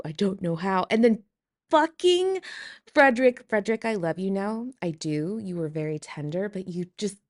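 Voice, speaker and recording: A woman leaving a casual voice message with dramatic, gushing fan enthusiasm. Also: mid-range voice. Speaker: listener sending a voice message.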